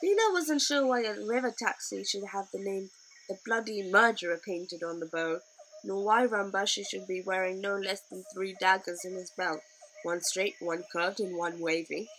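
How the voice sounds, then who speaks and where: A woman's voice: medium pitch, normal speed, fairly bright tone, a little hiss or hum, poor audio. A woman narrating a children's novel expressively, as a storybook reader.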